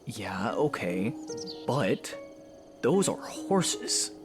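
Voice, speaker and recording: An actor playing a reserved, awkward young male hero in a fan audio drama, speaking in a halting, sincere way. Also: lowish voice, big pitch swings, over music, full character voice. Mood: reluctant, defensive. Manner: hesitant, soft-edged, halting.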